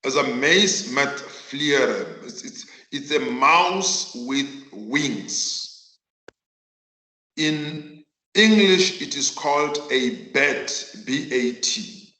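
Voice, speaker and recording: A South African man whose mother tongue is Northern Sotho, teaching a virtual class in an emphatic, deliberate way and repeating phrases for effect. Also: medium pitch, lively intonation, pushed and bright. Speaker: accounting teacher. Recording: echoey room.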